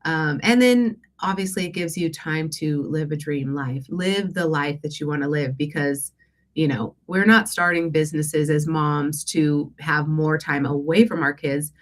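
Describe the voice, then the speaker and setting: A woman with a low voice speaks through a congested, stuffy nose. She hosts a casual coaching session as a life and business coach. Her delivery is conversational and a little hesitant, often checking in with the people listening. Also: a little slow. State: congested from allergies. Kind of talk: casual live Q&A coaching talk.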